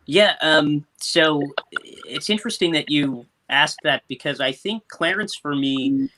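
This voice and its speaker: A man's voice: a little high, unhurried. A young man, an actor, answering interview questions casually over a video call. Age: young adult. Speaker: stage actor and Foley performer. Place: indoors in a room at home, on a video call. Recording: clicky video call with faint noise.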